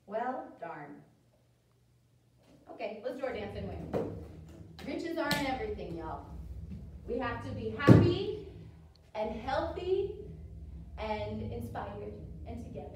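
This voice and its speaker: A very reverberant dance studio, picked up by a distant microphone, with music in the recording. An American woman with a high voice leads small children through ballet steps, calling cues in an encouraging, animated manner. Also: a little slow, pauses often, noisy background. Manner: animated, encouraging, sing-song cueing. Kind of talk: dance class instruction for toddlers. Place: indoor dance studio with wooden floor. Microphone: camera several metres away.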